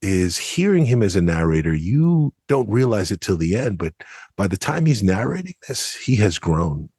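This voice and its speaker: American guy breaking stuff down on a podcast, deepish husky voice, emphatic. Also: sing-song, moderate pace, mellow, no echo, studio quality. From United States. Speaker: podcast commentator. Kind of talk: conversational analysis.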